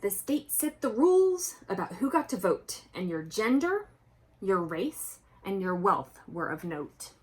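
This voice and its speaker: A woman's voice: medium pitch, big pitch swings, a little slow, low-quality recording. A woman reading a picture book aloud to children expressively, breaking off to explain things directly to young listeners. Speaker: children's book reader and presenter.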